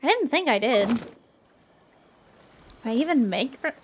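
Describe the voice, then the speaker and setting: A woman's voice: high-pitched, big pitch swings, a little slow, background noise. A young woman streamer chatting playfully while playing a game. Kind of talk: casual game commentary.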